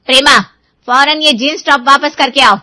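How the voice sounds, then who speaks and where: A woman voicing a stern, nagging Indian mother in an animated moral story. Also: high voice, moderate pace, no room sound. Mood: indignant, scolding. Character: strict, scolding Indian mother of a grown daughter.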